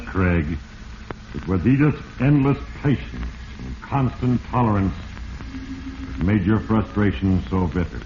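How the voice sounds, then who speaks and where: An actor playing Fate as a sinister, all-knowing narrator with an American accent, in measured 1940s radio mystery style. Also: male voice, lowish voice. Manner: ominous, measured, addressing the character as "you". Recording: muffled, noisy vintage radio recording.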